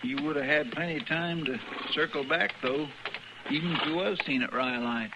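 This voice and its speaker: An actor playing a folksy, loyal deputy with a rural Southern and Western American drawl in an old-time radio western. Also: male voice, fairly high pitch, normal speed, very noisy, natural voice. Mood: earnest. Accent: rural American South and West. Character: folksy, loyal, mournful male deputy sidekick.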